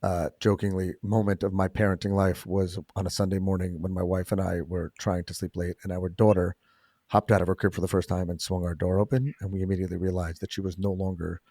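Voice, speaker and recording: Low-voiced New man hosting a podcast. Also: flat delivery, fast, warm tone, no echo, studio quality. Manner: chatty, joking asides. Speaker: podcast host. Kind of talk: casual interview chat.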